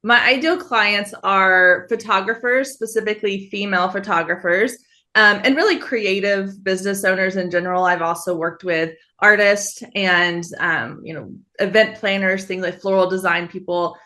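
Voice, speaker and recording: A woman's voice: medium pitch, moderate pace, pushed and bright. A woman in her thirties from Texas, a photographer and business coach, answering interview questions in a friendly, upbeat way. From Texas, USA. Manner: friendly, upbeat, easy laughs. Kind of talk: podcast interview.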